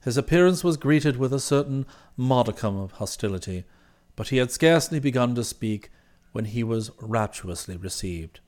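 Man voicing a very low, unflappable English valet. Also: expressive, darkish tone, close to an everyday voice. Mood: calm, deferential. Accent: upper-class British English. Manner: formal, measured, discreet, precise wording. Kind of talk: audiobook character voice.

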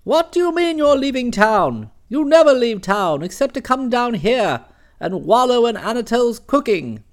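A man voicing a booming, imperious older aunt who blusters and scolds. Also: big pitch swings, normal speed, exaggerated voice. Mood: blustering.

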